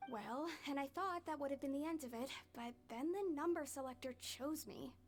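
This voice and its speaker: An actress voices a young woman thief in an anime game. Her voice is high, and she explains herself in a nervous, evasive way. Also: normal speed, natural voice. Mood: sheepish explaining. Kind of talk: anime video game acting.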